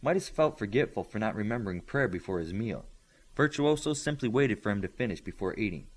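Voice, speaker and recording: A man reading a story aloud as scripted, expressive narration. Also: fairly low pitch, a little fast. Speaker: audiobook reader.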